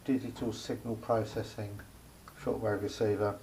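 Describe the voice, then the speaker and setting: A man giving a casual, unscripted hands-on demonstration as a hobbyist, speaking haltingly with pauses in mid-phrase. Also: fairly low pitch, flat delivery, mellow, low-quality recording. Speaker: hobbyist showing a homemade radio aerial project. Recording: faint background noise, amateur camera recording.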